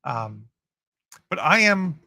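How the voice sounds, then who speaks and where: A man hosting a writers' livestream in an upbeat, chatty way, full of fillers and restarts, his voice getting raspy from long talking. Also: a little high, sing-song, slow, pushed and bright, no room sound. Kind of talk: casual livestream chat answering viewer comments.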